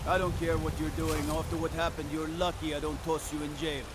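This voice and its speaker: An actor voicing a blunt, plain-spoken town guard in a fantasy video game. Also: male voice, on the high side, little pitch movement, a little fast, heavy background noise, lightly acted. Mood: brusque.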